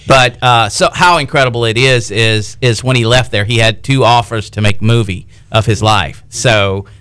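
A man's voice: medium pitch, normal speed, fairly bright tone, smooth. A man co-hosting a radio show, giving an effusive, unscripted introduction that halts and repeats itself. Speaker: radio co-host introducing a guest.

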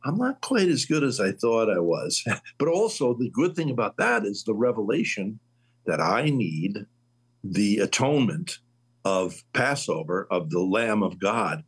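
A man in his late sixties hosting a casual faith conversation, telling stories with lively swings in his voice. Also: on the low side, a little slow, breathy edge. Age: 60s. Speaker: host.

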